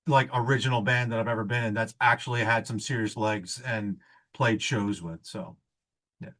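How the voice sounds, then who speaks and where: A man in his late thirties talking slowly and self-deprecatingly about his past bands. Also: fairly low pitch, fairly even intonation, fairly bright tone. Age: 30s. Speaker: band member. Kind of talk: casual interview chat.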